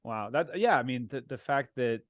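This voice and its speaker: A man hosting a podcast interview, asking questions in a relaxed, chatty way with many fillers and restarts. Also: medium pitch, normal speed, dry recording, muffled recording. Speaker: podcast host. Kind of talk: casual interview.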